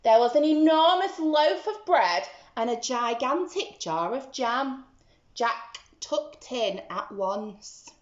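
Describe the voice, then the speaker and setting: A woman's voice: fairly high pitch, animated, slow, reverberant, dull audio. A woman teacher reading a story to young children, expressively and with dramatic emphasis.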